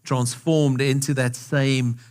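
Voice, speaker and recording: A man's voice: mid-range voice, level tone, slow pace, a little mellow, clear, resonant tone. A South African man with an accent that has picked up some American, preaching a conversational sermon to a congregation, asking them questions and repeating phrases for emphasis. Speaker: pastor. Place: church hall with a live congregation.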